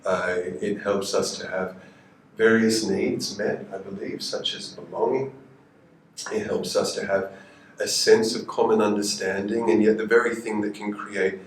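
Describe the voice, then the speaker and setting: A man on a panel, speaking in a measured, contemplative way, recorded from a distance in an echoey room. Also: lowish voice, fairly even intonation, a little hiss or hum. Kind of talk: panel discussion.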